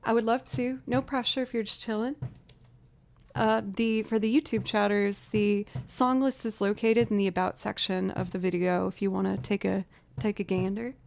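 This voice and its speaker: A woman with a low alto voice, livestreaming as a musician and chatting casually while she builds loops, often thinking out loud. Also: fairly even intonation, normal speed, vocal fry, slight background noise. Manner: chatty, talks aloud to herself.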